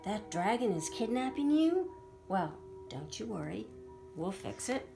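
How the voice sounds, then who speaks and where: A woman's voice: mid-range voice, sing-song, measured pace, gravelly, music in the background. A woman voicing a protective, motherly female eagle. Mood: reassuring.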